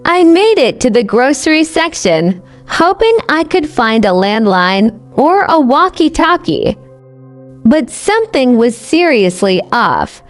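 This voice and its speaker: A woman narrating a scripted first-person horror story in a conversational but deliberate, dramatic way. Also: sits high, very animated. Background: music bed.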